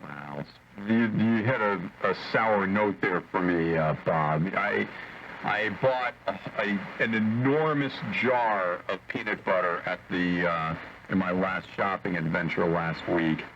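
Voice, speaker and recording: A low-pitched man comes through a dull, noisy shortwave radio signal. He chats casually as a ham operator, wry and opinionated, with a joking streak. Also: slow. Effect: shortwave radio transmission. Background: radio static.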